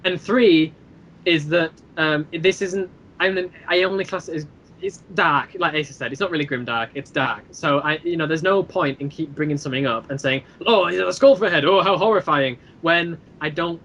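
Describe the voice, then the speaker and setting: A man's voice: a little high, a little fast, a bit bright. A man in casual discussion as a podcast guest, explaining his points and acting out imagined reactions. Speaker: podcast guest, creator of an audio drama series. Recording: muffled band-limited mic with clear background noise.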